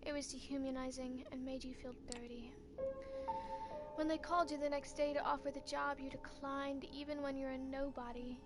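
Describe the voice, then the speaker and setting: A woman reading a story game's text aloud in second person, making light asides now and then. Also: high-pitched. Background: loud game music.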